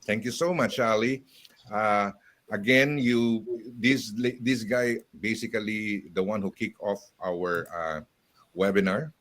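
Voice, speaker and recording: A man's voice: fairly low pitch, slow, a bit bright. A man moderating an online panel, handing the floor to each panelist with light apologies. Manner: apologetic, light laughs between introductions.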